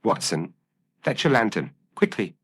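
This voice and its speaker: A man voicing a brilliant, commanding male detective with calm, incisive, formal deductions in an audio mystery story. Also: fairly low pitch, measured pace, voice pushed up, exaggerated voice. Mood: commanding, alert. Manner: crisp, formal, declarative deductions.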